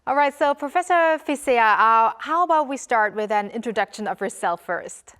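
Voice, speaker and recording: A woman hosting a news interview program, reading a scripted introduction and asking questions in a polished, steady way. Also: fairly high pitch, normal speed, clean tone.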